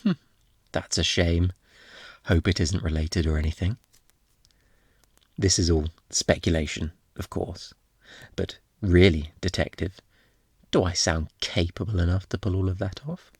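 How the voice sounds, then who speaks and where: Smug, flirty low-voiced thief played by a man. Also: fairly even intonation, measured pace, long pauses, breathy edge, no echo, studio quality, exaggerated voice. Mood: smug, insinuating. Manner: teasing, insinuating, mock-innocent, theatrical. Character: smug, flirty master thief taunting a detective. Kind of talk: ASMR roleplay acting.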